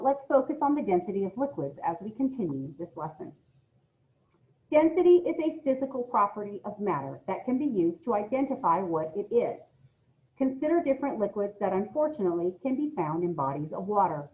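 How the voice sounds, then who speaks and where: A woman's voice: mid-range voice, a little mellow. American woman teacher, dull lo-fi recording. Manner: explanatory, addresses students directly. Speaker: middle school science teacher. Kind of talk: read-aloud lesson with informal explanations.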